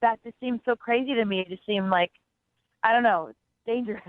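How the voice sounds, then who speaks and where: A woman's voice: fairly high pitch, brisk, no echo. A woman phoning in, chatting casually in a hesitant, incredulous way. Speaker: phone-in guest on a dating segment. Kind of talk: casual chat. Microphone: telephone. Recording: dull, dry phone-call sound.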